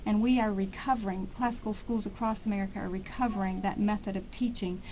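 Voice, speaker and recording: A middle-aged woman with a mid-range voice gives a radio interview as a school representative. She explains in a conversational, slightly halting way, with faint background noise around her. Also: mid-range voice, fairly even intonation, a little mellow, poor audio.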